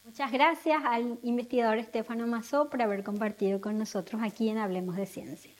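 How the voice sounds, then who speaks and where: A woman hosting a science program, introducing guests in a measured, formal way. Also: fairly high pitch. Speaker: host.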